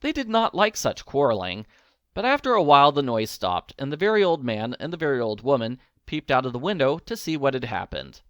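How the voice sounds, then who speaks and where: A man reading a children's storybook aloud in an expressive narrating style. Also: medium pitch, fairly quick, no room sound, clean studio sound. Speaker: storybook reader.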